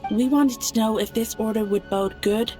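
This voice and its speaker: A woman playing a grave, stately moon goddess in a game cutscene, speaking calmly and deliberately in formal phrasing. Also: a little high, close to an everyday voice. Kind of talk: dramatic game cutscene acting. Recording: background music under the voice.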